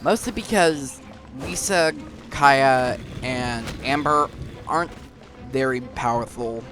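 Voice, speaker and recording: Fairly high-voiced man commentating over game music. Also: slow pace. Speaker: gaming video host. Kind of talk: casual game playthrough commentary.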